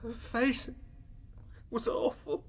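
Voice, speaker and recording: A man's voice: very high-pitched, level tone, slow pace, vocal fry, full character voice. A man playing a distraught, grief-stricken widower giving tearful testimony in a radio drama. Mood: anguished, tearful. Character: grief-stricken, broken widower in the witness box. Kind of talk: radio drama courtroom acting.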